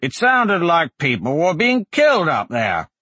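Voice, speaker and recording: A man's voice: a little high, huge pitch swings, a little slow, pushed and bright, husky, no echo, voice pushed up, heavily acted. A man voicing an aggrieved, plain-spoken male innkeeper in a fantasy audiobook, justifying himself. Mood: defensive. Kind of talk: fantasy audiobook character dialogue.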